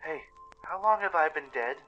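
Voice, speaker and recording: A man's voice: fairly high pitch, expressive, normal speed, highs cut off, soft background music, voice pushed up, close to an everyday voice. A man voicing the disembodied spirit of a young mare in a radio play, wistful and casually musing. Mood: curious.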